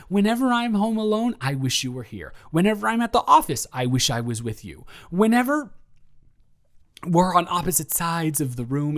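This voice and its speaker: A man's voice: on the high side, lightly acted. A young man playing a lovestruck roommate in an intimate audio roleplay, earnest, rambling and stammering as he nervously confesses his feelings. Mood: tender, pleading. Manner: rambling, stammering, earnest, awkwardly self-deprecating.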